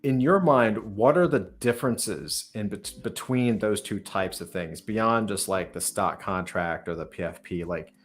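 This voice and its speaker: A man's voice: on the low side, measured pace, few pauses. A man hosting a casual interview, talking in a rambling way as he thinks aloud and restarts his questions. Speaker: podcast host and startup founder interviewing a guest.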